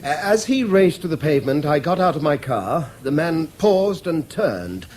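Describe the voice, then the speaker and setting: Earnest, higher-voiced English schoolmaster. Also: male voice, sing-song, normal speed, darkish tone. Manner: earnest, precise, defensive. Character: conscientious, stubborn English schoolmaster under pressure as witness. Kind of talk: 1970s British radio drama acting. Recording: faintly noisy old radio broadcast.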